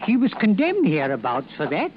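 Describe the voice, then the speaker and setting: A man playing a loyal old blind countryman with a halting, deferential, rustic manner in a radio drama. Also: sits high, wildly swooping pitch. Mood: wary, respectful. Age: older. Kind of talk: 1940s radio drama acting. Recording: dull, noisy old-time radio recording.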